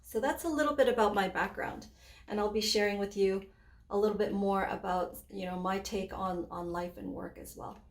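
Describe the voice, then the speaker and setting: A woman's voice: medium pitch, steady tone, normal speed, reverberant. A woman in her forties, raised between Hong Kong and Canada, giving a conversational webinar presentation with frequent fillers and restarts. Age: 40s.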